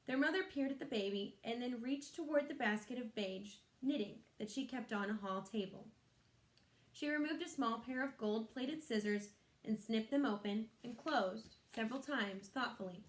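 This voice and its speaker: A woman's voice: on the high side, moderate pace. A woman reading a children's story aloud expressively, like a teacher, in an echoey room.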